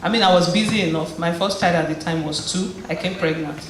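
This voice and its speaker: A woman's voice: lowish voice, fast talker. A woman from Nigeria, giving a conversational personal testimony as a guest speaker and encouraging her audience, often restarting her phrases. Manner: conversational storytelling with frequent restarts. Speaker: guest speaker at a community event. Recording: very echoey hall with faint noise.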